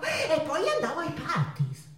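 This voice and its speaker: A woman's voice: high-pitched, huge pitch swings, full character voice. An actress playing a spirited, irreverent Mexican woman painter, delivering a dramatic, mocking monologue on stage. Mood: amused, reminiscing. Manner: dramatic, mocking, drawn-out exclamations with bursts of laughter. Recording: roomy, distant stage recording with guitar. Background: acoustic guitar music.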